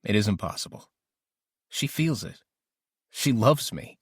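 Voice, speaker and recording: A man's voice: lowish voice, lively intonation, slow, slightly rough, no echo, studio quality, full character voice. A man narrating an audiobook diary as an ambitious, lovesick teenage boy, introspective and intense. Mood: lovesick, searching. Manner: introspective, self-questioning, intense.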